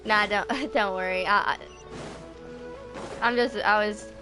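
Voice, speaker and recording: A woman in her twenties on a casual game livestream, chatting and reading dialogue aloud in a halting, rambling way. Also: medium pitch, fairly even intonation, over music. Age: 20s. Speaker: game streamer.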